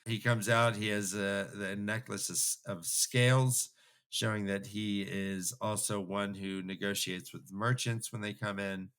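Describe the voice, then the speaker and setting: A man's voice: lowish voice, fairly even intonation, measured pace, a bit bright. A man co-hosting a comic book discussion podcast, speaking emphatically in a mock-scholarly way and repeating himself for effect. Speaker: podcast co-host.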